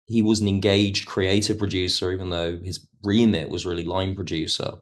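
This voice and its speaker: A man speaking as an expert guest in an interview, explaining things in a measured, digressive way. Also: low-pitched, flat delivery. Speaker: podcast guest, television history expert. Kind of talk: conversational interview commentary.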